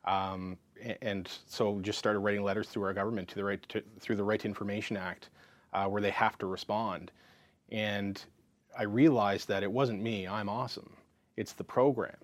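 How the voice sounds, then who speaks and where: A man in his thirties tells his story as an interview guest, talking in a frank, lively way. His voice sits low, and the sound is dry and close. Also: dry recording. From Canada. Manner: frank and lively, full of fillers and restarts. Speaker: counselor and psychotherapist, interview guest.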